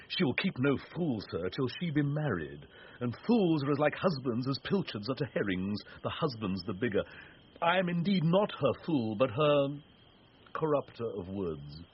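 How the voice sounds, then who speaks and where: A man playing an impudent jester, animated. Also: mid-range voice, normal speed, close to an everyday voice. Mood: impudent, teasing. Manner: nimble, teasing wordplay. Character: witty male jester, impudent, wordplaying and wheedling. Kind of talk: Shakespearean radio comedy acting. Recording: dull radio recording, faint noise.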